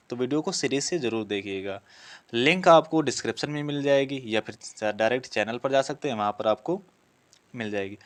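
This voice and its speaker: Man teaching an exam practice lesson. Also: mid-range voice. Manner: explanatory, asks questions then answers them. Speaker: Hindi grammar teacher for police exam prep. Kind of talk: exam lesson, reading and solving practice questions.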